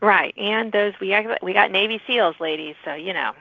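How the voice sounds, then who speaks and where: A woman's voice: medium pitch, moderate pace, creaky, no echo. Female interviewer for a podcast, dry sound with little room, thin telephone-like audio. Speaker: podcast host interviewing authors. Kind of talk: conversational interview. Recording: thin phone-like line.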